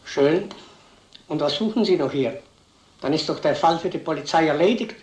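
An actor playing a hostile, officious village schoolteacher, curt and sarcastic, in an old crime film. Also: male voice, a little high, moderate pace, a little mellow, natural voice. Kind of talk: vintage German crime film acting. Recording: roomy old film soundtrack.

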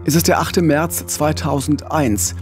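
A middle-aged man hosting a true-crime podcast, telling the story with a gravelly, husky voice on a studio mic. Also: a little high, steady tone, measured pace, faint music behind. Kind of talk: podcast storytelling, partly scripted. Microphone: studio mic with pop filter, headphones.

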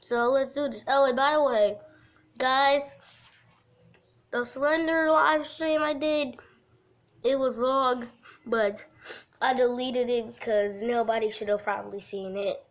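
A woman's voice: a little high, fairly even intonation, measured pace, pauses often. A giggly woman hosting a casual game livestream, chatting and reading aloud from a visual novel. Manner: giggly, breaks off into laughter. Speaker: livestream host.

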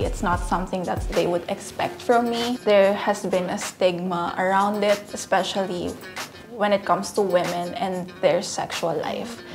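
Earnest Filipino woman, twenty-five. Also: medium pitch. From Philippines. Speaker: interviewee. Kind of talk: candid interview. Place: studio with plain backdrop.

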